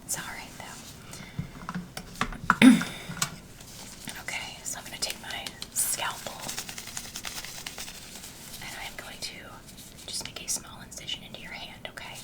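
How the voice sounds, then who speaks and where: A woman doing an ASMR doctor roleplay, speaking gently and reassuringly to a patient in soft whispers. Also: unhurried, long pauses, distant mic, rough recording.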